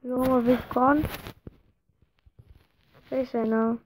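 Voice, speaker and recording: Distracted young woman livestreaming, muttering slowly. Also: fairly high pitch, steady tone. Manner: distracted, muttering to herself while reading chat. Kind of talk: casual live stream chat. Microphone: phone or device mic. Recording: dull lo-fi phone stream with faint noise.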